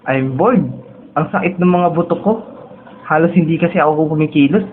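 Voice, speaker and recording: A man's voice: fairly high pitch, moderate pace, a little hiss or hum, natural voice. A young man with a Filipino accent playing a laid-back, teasing dream traveler in a casual radio drama dialogue. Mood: bored, playful. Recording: tinny narrow-band audio with music behind.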